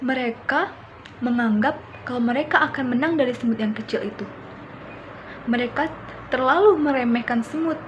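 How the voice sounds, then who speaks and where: A young woman with an Indonesian accent, a student telling a folk tale aloud in a plain, steady reading. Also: on the high side, moderate pace, pauses often, muffled recording, slight background noise. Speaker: student storyteller.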